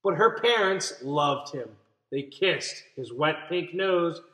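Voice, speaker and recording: A young man reads a picture book to children in a high-pitched, very animated voice. He explains as he goes and puts questions to his listeners. The room sounds a little echoey. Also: slow. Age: young adult. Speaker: teacher reading aloud. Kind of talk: children's picture book read-aloud with commentary. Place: small indoor room.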